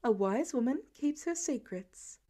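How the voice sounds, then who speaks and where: A woman's voice: sits high, animated, a little slow, warm tone. A woman voicing a playful, giggly wife and mother who teases and banters, as audiobook dialogue. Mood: teasing.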